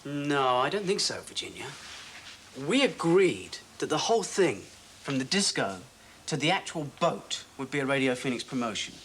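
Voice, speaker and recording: A man's voice: mid-range voice, sing-song, moderate pace, a bit bright, slight background noise. An actor playing a jokey, teasing local radio DJ presenting his show. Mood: stubborn. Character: breezy male local radio DJ, quick-witted. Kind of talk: 1980 TV drama acting.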